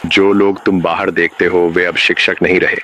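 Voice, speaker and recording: Deep-voiced, ominous emergency broadcast announcer played by a man. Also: flat delivery, noisy background, close to an everyday voice. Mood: grave, ominous. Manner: grave, measured, menacing. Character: ominous male emergency broadcast announcer warning of uncanny teachers. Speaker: narrator. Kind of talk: scripted horror narration as an emergency broadcast.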